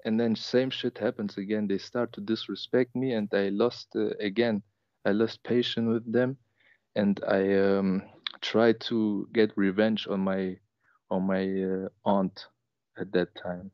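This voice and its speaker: A young man in his twenties with a fairly low voice calls in to tell a personal story. He is hesitant and emotional, stalling on fillers and restarting sentences. His voice comes through dry and dull, with little room sound. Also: little pitch movement, unhurried, dry recording. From Germany. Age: 20s. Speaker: caller. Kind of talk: personal story on a call-in show.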